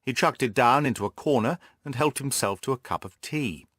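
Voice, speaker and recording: A man reading scripted literary narration for an audiobook in a measured storytelling manner. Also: mid-range voice, fairly bright tone, slightly rough, no room sound, clean studio sound.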